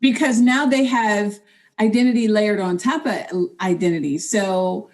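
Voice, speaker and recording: A friendly woman host asks her guest questions in an easy, chatty manner. Her voice sits at a middle pitch. She sounds a little distant from the microphone. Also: measured pace. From Cleveland, Ohio. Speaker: podcast host. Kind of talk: interview questions.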